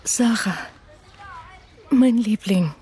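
A woman's voice: fairly high pitch, drawn-out words. An actress in a German radio crime drama playing a protective mother shaken by her daughter's kidnapping. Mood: caring, watchful.

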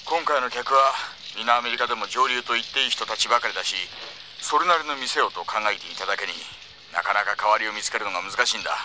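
An actor playing a curt, authoritative middle-aged department manager in a Japanese audio drama, all business. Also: male voice, medium pitch, fast, background noise, close to an everyday voice. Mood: brusque, businesslike.

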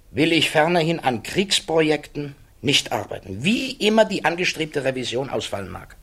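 Radio drama role: reflective physicist of conscience, formal, deliberate, rhetorical, mid-pitched. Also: male voice. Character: grave, reflective male physicist defending his conscience. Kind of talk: radio play monologue, formal stage German.

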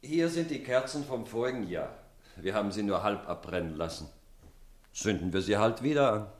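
An actor playing a poor, gruff but tender-hearted father in a 1950s radio play. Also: fairly low pitch, animated, moderate pace, lightly acted. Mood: reassuring. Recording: roomy old radio recording with faint music.

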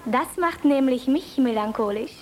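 A woman's voice: sits high, normal speed, slight background noise, close to an everyday voice. An actress playing a pert, coy nineteen-year-old girl in a radio play, playful but guarded.

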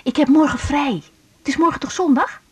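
A woman's voice: high voice, fairly quick, darkish tone, a little hiss or hum, natural voice. An actress playing a young woman doctor, needy and coaxing, in a naturalistic Netherlands Dutch radio drama. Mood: eager, affectionate.

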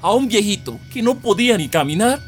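A man's voice: sits high, big pitch swings, a little fast, soft background music. A man playing a determined, earthy rural husband in a radio drama, with a Colombian accent and emphatic country speech. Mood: urgent, alarmed.

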